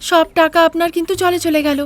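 Woman voicing a very high, earnest young daughter-in-law. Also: flat delivery, quick pace. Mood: indignant. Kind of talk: cartoon fairy-tale character acting.

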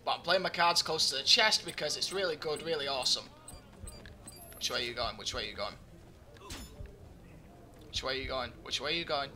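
A man's voice: mid-range voice, fairly quick, long pauses, sharp tone, soft background music. A young man giving live gameplay commentary, chatty and joking, his voice slightly groggy. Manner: chatty, joking, talks to himself while playing. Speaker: gaming commentator.

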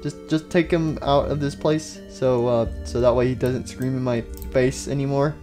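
A man's voice: mid-range voice, steady tone, normal speed, dark tone, faint music behind, natural voice. A man playing a mildly exasperated puppet leader in a casual, dry everyday voice.

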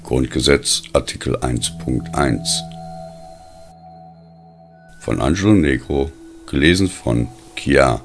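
A man reading a scripted diary story as an audiobook narrator, in a measured, deliberate way with pauses between phrases. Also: very deep voice, animated, soft background music.

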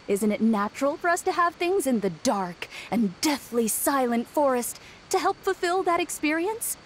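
A woman's voice: high voice, slight background noise. A woman voicing a mysterious shrine maiden with a theatrical, coolly taunting and sinister manner in an anime video game.

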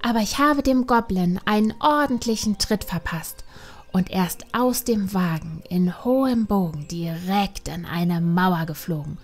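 A woman's voice: medium pitch, unhurried, lightly acted. A woman playing an eager, boastful young panda girl who tells stories dramatically in an audiobook. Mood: excited, boastful. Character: plucky young panda girl, boastful storyteller, easily offended. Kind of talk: audiobook character acting.

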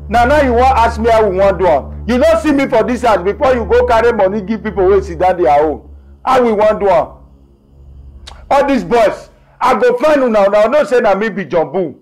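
A man's voice: high voice, lively intonation, a little fast, low-quality recording. A man playing a fierce scolding husband. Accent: Nigerian. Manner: scolding, shouting orders. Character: angry husband scolding his wife. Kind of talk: Pidgin comedy skit.

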